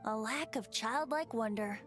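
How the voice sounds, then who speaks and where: A woman's voice: fairly high pitch, measured pace, soft background music, close to an everyday voice. A woman voicing a whimsical, teasing boyish bard in a video game, musing and lightly theatrical. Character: whimsical carefree boyish bard, youthful spirit, playful and teasing. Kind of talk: video game character dialogue.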